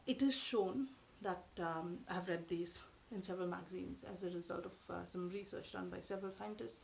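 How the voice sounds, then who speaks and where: Measured woman talking to camera. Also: lowish voice, vocal fry, a little hiss or hum. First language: Tamil. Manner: measured, thoughtful, with frequent um and uh pauses. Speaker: vlogger. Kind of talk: explanatory talk to camera. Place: living room, seated on a sofa. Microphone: camera in front at close range.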